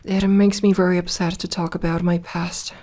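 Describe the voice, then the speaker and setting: A woman voicing a lonely, guarded yet tender immortal vampire girl in an intimate ASMR roleplay, speaking softly and haltingly. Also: on the low side, level tone, close to an everyday voice. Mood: curious, teasing. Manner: soft, hesitant, halting delivery with sighs.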